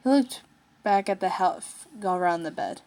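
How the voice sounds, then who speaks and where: A woman with a mid-pitched voice reads fiction aloud as the narrator. She follows a written story in prose. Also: normal speed, a little mellow.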